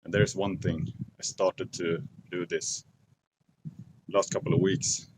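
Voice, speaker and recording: A man in his forties with a Swedish accent, talking slowly and thoughtfully to camera outdoors in a forest at dusk, pausing to search for English words. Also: low voice, flat delivery, heavy background noise. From Sweden. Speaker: outdoor vlogger. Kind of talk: casual talk to camera.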